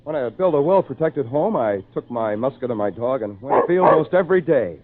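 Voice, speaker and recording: An actor playing a lonely shipwrecked man who tells his own tale in earnest, theatrical, measured phrases with dramatic pauses, in old-time radio style. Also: medium pitch, expressive, lightly acted. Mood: determined. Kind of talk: old-time radio drama acting, first-person narration. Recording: tinny, band-limited old radio recording, faint noise.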